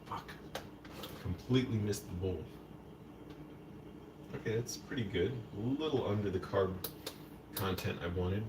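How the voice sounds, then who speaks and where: A low-voiced man talks on a live stream while he fixes food in his kitchen. He rambles to himself and slips in Spanish words. He sits well back from the mic, and steady background noise runs under his voice. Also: far from the mic.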